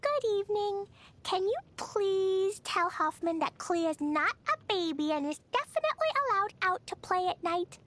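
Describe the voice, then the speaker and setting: A woman's voice: very high voice, animated, moderate pace, a bit bright. A woman voicing an eager, mischievous little girl knight with a childlike, cutesy manner in a video game. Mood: eager, playful. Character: hyperactive, mischievous little girl knight who loves bombs. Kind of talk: video game character voice lines.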